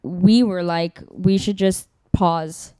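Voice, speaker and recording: A woman in her twenties, co-hosting a podcast and talking in a relaxed, reflective way. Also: lowish voice, slow, smooth, no room sound, clean studio sound. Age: 20s. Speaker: podcast co-host. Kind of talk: casual chat.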